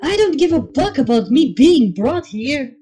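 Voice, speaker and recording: A woman's voice: high voice, very animated, a little slow, mellow, pitched above the performer's own voice. A woman voicing a blunt, world-weary pony with a dry manner in a fan story reading. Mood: indignant.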